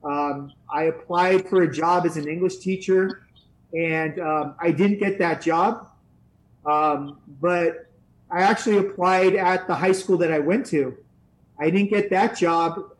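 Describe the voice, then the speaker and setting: A middle-aged man with a notably high voice tells his story in a loose, rambling way. He works as a Spanish teacher and grew up in the San Francisco area. He pauses often and fills gaps with "um" and "uh.". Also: poor audio. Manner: rambling, many fillers and restarts. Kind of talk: casual interview answers.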